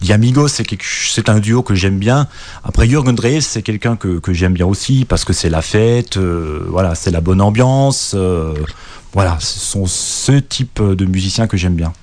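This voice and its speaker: A middle-aged man with a noticeable Alsatian accent and a fairly low voice. He is a guest singer on a radio interview, often restarting his sentences as he answers. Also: moderate pace, darkish tone. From Alsace. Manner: hesitant, many restarts.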